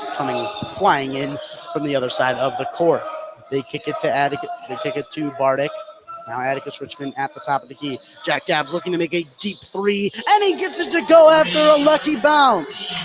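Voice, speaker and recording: A man calling live basketball play-by-play from courtside, excitable and ready to raise his voice on big plays. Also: a little high, moderate pace, poor audio. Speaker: play-by-play announcer. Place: courtside in a gym. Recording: clear background noise on live broadcast.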